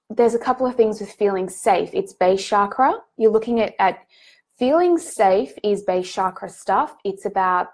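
Congested woman hosting a coaching call. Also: medium pitch. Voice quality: nasal. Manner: warm, gently reassuring, frequent ums and restarts. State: congested from a head cold. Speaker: group coaching host.

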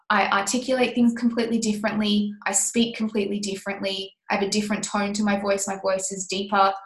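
A woman in her thirties coaching a mastermind group in casual talk, animated and laughing often as she acts out her past self. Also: mid-range voice, roomy sound, poor audio.

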